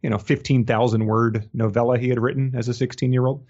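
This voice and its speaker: A man's voice: medium pitch, level tone, fast, darkish tone. A man co-hosting a podcast, chatting in an informal, jokey, rambling way. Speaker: podcast co-host. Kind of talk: casual chat, bantering about books. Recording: slightly dull, lo-fi audio.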